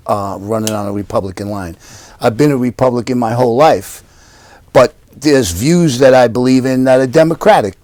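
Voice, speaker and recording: A man's voice: mid-range voice, a little mellow, dry recording. Earnest older man, dry close sound. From Yonkers, New York. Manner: earnest, repetitive campaign speech. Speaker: council candidate. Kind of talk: interview answers. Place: living room at home.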